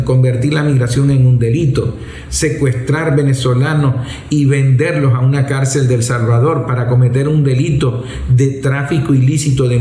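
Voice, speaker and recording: A man's voice: mid-range voice, warm tone. Man explaining his opinions in an echoing room. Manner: didactic, emphatic, rhetorical questions. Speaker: commentator. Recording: roomy, echoing voice recording.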